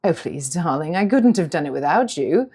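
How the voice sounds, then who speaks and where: A woman voicing a vain, seductive villainess with a rich, smooth voice and a mocking, purring delivery in an audiobook. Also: deep voice, lively intonation, moderate pace, a little mellow, heavily acted.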